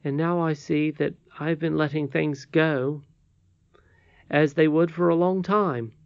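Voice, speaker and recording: An earnest storybook boy, acted by a male reader, high and emphatic. He is respectful, excitable and quick to own his faults. The sound is close and slightly dull. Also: vocal fry, clean tone, no echo. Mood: confessing. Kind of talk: storybook character reading.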